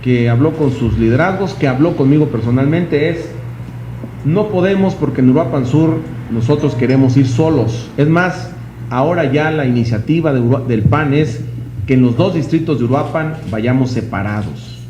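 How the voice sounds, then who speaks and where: A man's voice: medium pitch, moderate pace. A man with a Mexican accent speaking as a political leader to the press. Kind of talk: statement to the press. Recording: echoey, dull, noisy press recording.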